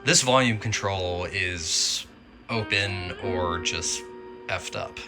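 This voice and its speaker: A man's voice: deep voice, slow pace, music in the background. A man giving casual, informal commentary on a repair as a radio restorer, thinking aloud as he works.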